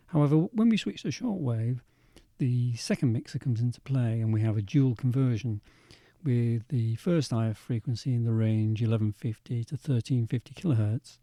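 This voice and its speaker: A man with a soft-edged, mellow voice presents a technical walkthrough at medium pitch. He explains step by step in a measured, methodical manner. The sound is dry and close, with no room around it. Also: no echo. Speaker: presenter demonstrating vintage radio equipment. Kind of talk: instructional demonstration narration.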